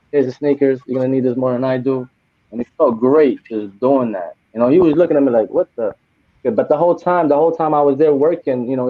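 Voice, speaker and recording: A man's voice: medium pitch, no echo. Man in his forties on a tinny line. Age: 40s. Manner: loose, heartfelt, full of "you know". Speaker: podcast co-host and trip volunteer. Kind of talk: casual podcast chat. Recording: dry, tinny phone-like sound.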